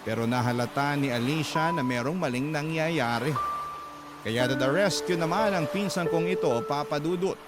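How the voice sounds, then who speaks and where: High-pitched male storyteller over very loud music. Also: moderate pace. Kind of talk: dramatic story narration.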